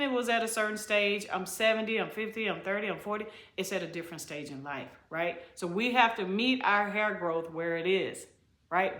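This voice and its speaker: A middle-aged woman talks straight to her viewers about hair care in a voice of ordinary pitch, leaning hard on key phrases and saying them again. The sound bounces around an echoey room. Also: a bit bright. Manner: emphatic, preachy, repeats key phrases; addresses viewers as y'all and you guys. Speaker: haircare specialist. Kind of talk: direct-to-camera advice talk.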